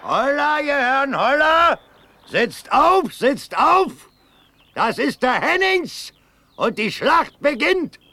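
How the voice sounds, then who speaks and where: An actor playing a gruff, hearty old Prussian cavalry colonel in a declamatory, commanding radio drama style. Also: male voice, very high-pitched, sing-song, slow, sharp tone, dry recording, heavily acted. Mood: barking orders. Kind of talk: German classical verse radio drama acting.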